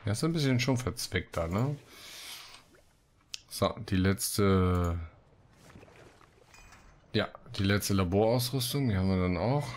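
A man's voice: deep voice, expressive, a little slow, long pauses. A man hosting a let's play, mixing casual commentary with read-out quest texts.

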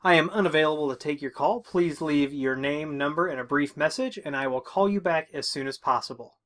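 Middle-aged guy doing a casual how-to, kinda higher voice, explains as he goes. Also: brisk, a bit bright. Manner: informal, talks through each step as doing it. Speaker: tech tutorial host. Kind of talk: casual instructional walkthrough. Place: small room with tech equipment, indoors.

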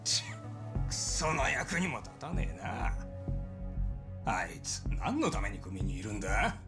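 An actor playing a scornful, disgruntled yakuza gangster with rough, sneering speech, in a crime video game. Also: male voice, on the low side, big pitch swings, measured pace, loud music behind the voice. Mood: contemptuous.

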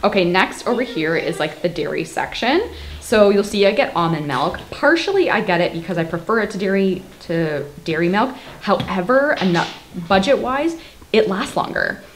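A woman's voice: medium pitch, big pitch swings, normal speed. A woman from Canada in her thirties, chatting casually through a grocery haul in her home kitchen, full of "like" and "um" fillers. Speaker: vlogger. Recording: clear background noise.

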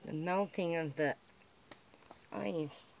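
An American woman filming outdoors on a handheld camera, talking softly to squirrels as she feeds them. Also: low-pitched, slow pace, creaky, slight background noise. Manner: hushed, chatty, talking to the animals. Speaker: vlogger feeding squirrels. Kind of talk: casual commentary while filming. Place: outdoors by a house, on snowy steps.

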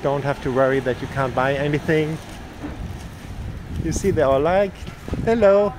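A man's voice: on the high side, steady tone, measured pace. Chatty man on a street walking tour. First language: German. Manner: chatty, upbeat, points things out to viewers. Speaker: vlogger giving a walking tour. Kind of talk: casual walking-tour vlog. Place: outdoors on a town street at evening. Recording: street noise from passing cars.

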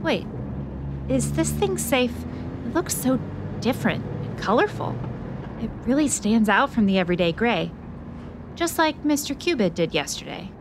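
A woman's voice: on the high side, very animated, normal speed, long pauses, background noise. A woman playing a plucky, self-assured investigative reporter in a scripted radio drama, inquisitive and theatrical.

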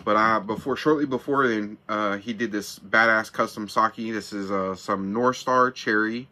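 A man's voice: on the low side, normal speed, pushed and bright. A man in his thirties giving a casual, profane, hyped product review in slang, seated in a small camper van and talking into a camera close by. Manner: hyped, slangy, swears a lot.

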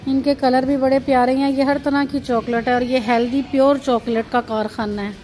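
A woman from Pakistan narrates a casual vlog tour into a handheld phone. Her voice sits high and stays fairly even in pitch, and she keeps drawing the viewer's attention to each thing she sees. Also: a little fast. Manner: pointing things out, repeating "look at this". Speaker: vlogger. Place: chocolate shop and busy shopping street.

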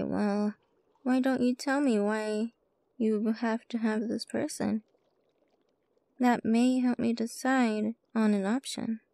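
Slow, creaky-voiced witch shopkeeper, played by a woman. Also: a little high, dark tone, no echo, heavily acted. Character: sly, playful witch shopkeeper with a soft heart. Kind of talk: ASMR roleplay, scripted character monologue.

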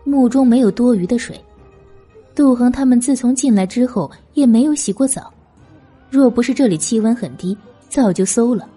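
A woman's voice: fairly high pitch, normal speed, warm tone, husky, faint music behind. A woman reading scripted novel narration as an audiobook narrator.

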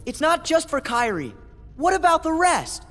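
A man's voice: very high voice, huge pitch swings, normal speed, a bit bright, long reverb tail, exaggerated voice. A young man playing an earnest, upbeat teenage boy hero in a video game cutscene. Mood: pleading. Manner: earnest, pleading.

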